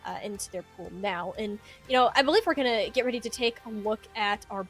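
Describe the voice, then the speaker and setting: A woman's voice: mid-range voice, fairly quick. A woman giving conversational live esports commentary, chatty and emphatic with informal slang. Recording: music behind the voice. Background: music.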